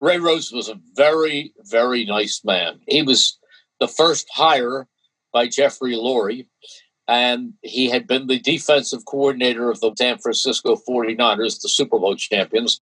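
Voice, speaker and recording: A man's voice: medium pitch, a little slow, fairly bright tone, poor audio. A man in an interview, fondly and steadily recalling a former coach. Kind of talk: reflective interview.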